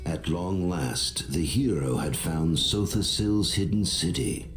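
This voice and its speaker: A man's voice: low-pitched, animated, takes it slowly, soft background music. A man playing a grave storyteller, delivering dramatic scripted narration for a fantasy game. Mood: ominous storytelling.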